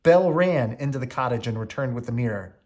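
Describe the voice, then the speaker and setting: Animated male storybook narrator. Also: medium pitch, fast talker. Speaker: storybook reader. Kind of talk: expressive scripted bedtime story narration.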